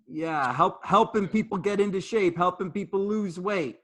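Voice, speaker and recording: Earnest high-voiced middle-aged man. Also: moderate pace, fairly bright tone. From Jamaica, raised in Canada. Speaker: fitness trainer and host. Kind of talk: casual conversational interview.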